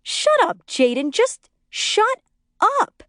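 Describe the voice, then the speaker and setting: A woman's voice: high-pitched, big pitch swings, normal speed, a little mellow, no echo, clean studio sound, voice pushed up. Woman doing a screaming, hysterical pregnant wife. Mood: bitter accusing. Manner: shrieking, accusing.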